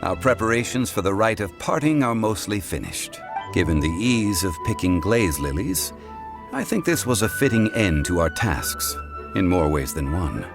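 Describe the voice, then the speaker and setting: An actor playing a calm, wise, dignified gentleman in a video game, speaking in a measured, formal manner. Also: low-pitched, creaky, heavily acted. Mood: composed, reflective. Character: calm, wise, dignified gentleman who has seen it all. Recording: music behind the voice. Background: game music.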